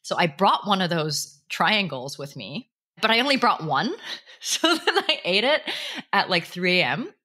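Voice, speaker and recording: Low bright lady host, chatty, laughs a lot. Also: very animated, a little slow. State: jet lagged. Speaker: podcast host. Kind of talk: casual chat.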